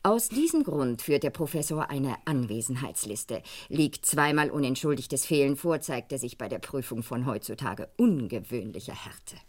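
A woman's voice: rumbling low, animated, normal speed, hardly any pauses. An actress playing a composed middle-aged woman who runs a school office in a radio crime drama, speaking formally and precisely with a slightly haughty air. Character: composed, formal female art school administrator. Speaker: actor.

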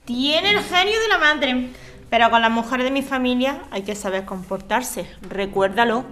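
A woman's voice: on the high side, expressive, normal speed, sharp tone, a little hiss or hum. A woman from playing a proud, reserved neighbour in a scripted radio drama.

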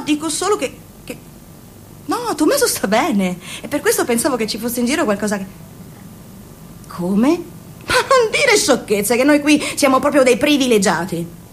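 A woman's voice: sits high, slight background noise. An actress playing Amanda, a fussy, chatty mother and saleswoman who puts on a cheerful manner, in a radio drama. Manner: chatty, fussing, falsely cheerful on the phone.

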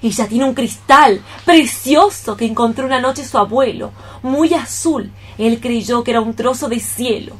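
A woman's voice: fairly high pitch, measured pace, hardly any pauses, slightly rough. An actress playing a bossy, matchmaking cockroach mother, coaxing and insistent in a radio play. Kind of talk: radio theatre acting.

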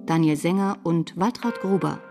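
A woman's voice: lowish voice, normal speed. A woman reading scripted radio drama credits as an announcer. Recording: guitar music behind.

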